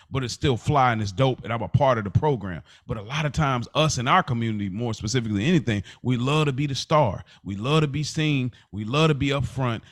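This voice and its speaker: A man's voice: medium pitch, fairly bright tone, no echo, clean studio sound. A man chatting casually as a podcast co-host, informal and slangy, often repeating his phrases.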